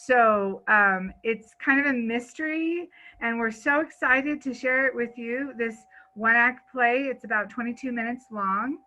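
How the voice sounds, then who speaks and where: A woman's voice: a little high, measured pace, few pauses, sharp tone, rough recording. A woman presenting a stage play, giving a spoken introduction to the audience.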